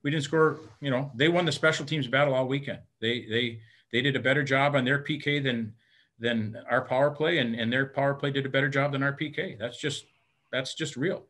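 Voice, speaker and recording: A man's voice: mid-range voice, fairly even intonation, a bit bright. Middle-aged male coach, frank and plain-spoken, stumbles and repeats words, postgame video call. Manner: halting, repeats words, many fillers. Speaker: head hockey coach. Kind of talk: postgame press conference answers. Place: indoor room in front of team backdrop. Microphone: webcam on video call.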